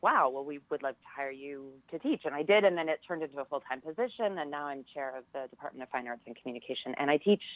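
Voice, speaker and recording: A woman's voice: very deep voice, dry recording, narrow, tinny audio. An American woman in her forties chatting casually and telling stories as an interview guest. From California, United States. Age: 40s. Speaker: interview guest, theater professor and director. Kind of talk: casual chat, personal storytelling.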